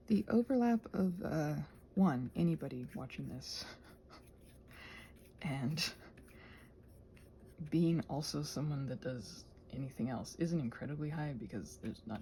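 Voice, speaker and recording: A woman's voice: deep voice, mellow, slight background noise. A woman chatting casually in a whimsical, digressive way while she does crafts. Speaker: craft vlogger.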